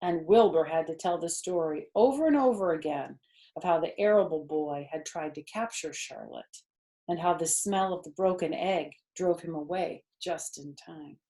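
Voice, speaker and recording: A woman's voice: deep voice, normal speed, dark tone. A woman storyteller reading a children's book aloud expressively, with chatty asides to young listeners.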